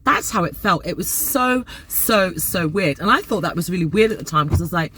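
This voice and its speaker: Woman from Nigeria, forties, lowish voice, chatty, emphatic repeats. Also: lively intonation, a little fast, pushed and bright, slight background noise, rough recording. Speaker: vlogger. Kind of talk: casual talk to camera.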